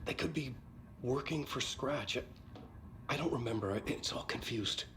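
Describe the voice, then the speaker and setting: An actor playing a troubled, confused writer in a horror video game, speaking urgently in halting, intense phrases. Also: male voice, mid-range voice, sharp tone, slight background noise, close to an everyday voice. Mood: dazed, uncertain. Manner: halting, repeating words, intense. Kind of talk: dramatic video game acting.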